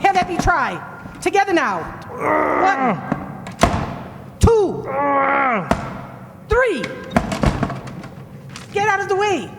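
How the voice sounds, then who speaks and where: Woman as shrill, frantic, commanding pursuer. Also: very animated, drawn-out words, long pauses, full character voice. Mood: urgent, commanding. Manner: urgent, commanding. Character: frantic, barking pursuer aboard a train. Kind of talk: 1940s radio acting. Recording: noisy, reverberant old radio recording.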